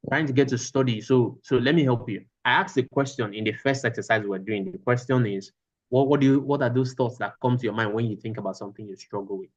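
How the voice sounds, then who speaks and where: A man leads an online lesson, going back over his own phrases to drive each point home. His voice sits at an ordinary middle pitch. The call audio is a little muffled. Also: quick pace. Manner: repeats phrases for emphasis. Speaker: teacher. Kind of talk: online class lecture.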